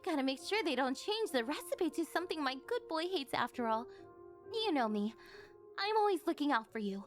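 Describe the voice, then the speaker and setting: A woman's voice: high-pitched, big pitch swings, a little fast, faint music behind. A woman playing a rich, possessive older yandere girlfriend in a scripted ASMR roleplay, coaxing sweetly and rambling a little awkwardly. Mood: coaxing, doting.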